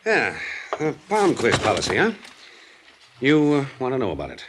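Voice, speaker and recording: A breezy, urbane male broker from an old radio play, over faint noise. His mid-range voice moves up and down playfully, offhand and smooth in the 1950s manner. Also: medium pitch, huge pitch swings, moderate pace. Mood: businesslike. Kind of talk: 1950s radio drama dialogue.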